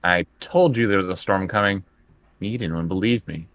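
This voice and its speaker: A man with a low-pitched voice takes part in a tabletop role-playing game as a player. He speaks casually, improvising as he goes, and the recording is close and dry. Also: a little fast, a bit bright, dry recording. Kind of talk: casual in-character improvised play.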